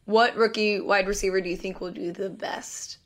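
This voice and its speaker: A woman with a raspy voice co-hosting a podcast, chatting casually and tossing in quick asides. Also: medium pitch, steady tone. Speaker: podcast co-host. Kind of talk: casual sports chat.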